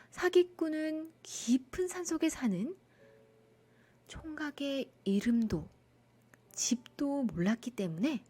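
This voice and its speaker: A woman narrating a children's bedtime folktale in an expressive storytelling style. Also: high voice.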